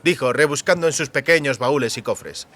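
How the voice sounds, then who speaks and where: A man's voice: mid-range voice, a little fast, pushed and bright. A man reading an audiobook as scripted dramatic narration.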